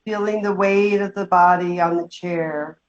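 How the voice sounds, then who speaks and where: A woman leading a guided meditation, giving slow, gentle instructions with long pauses. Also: fairly low pitch, steady tone, faint music behind. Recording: dull, muffled lo-fi sound.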